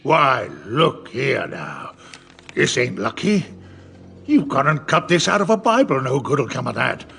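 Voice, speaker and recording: An actor playing a wily, domineering middle-aged pirate captain with a gravelly voice, theatrical and full of rustic sailor talk, in an audio drama. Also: male voice, medium pitch, very animated, measured pace, pushed and bright, exaggerated voice. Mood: mocking.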